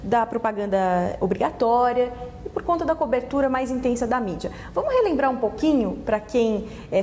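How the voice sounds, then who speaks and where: A woman's voice: on the high side, darkish tone, long reverb tail, slight background noise. A woman hosting a TV talk show, prompting her guest with questions. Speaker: TV host. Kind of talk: TV interview talk.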